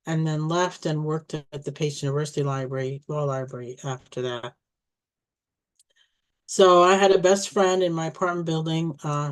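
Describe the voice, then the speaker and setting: A woman in her sixties, originally from Egypt, telling her life story in a casual, reminiscing way, pausing and restarting often and laughing softly now and then. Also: deep voice. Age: 60s. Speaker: family storyteller.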